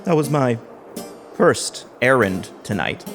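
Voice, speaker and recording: A man's voice: mid-range voice, very animated, slow, fairly bright tone, heavily acted. A man acting in an audio play as a resentful husband turned scheming killer, with a theatrical manner. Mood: smug, cold. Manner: theatrical, switching from pleading to smug. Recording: music behind the voice.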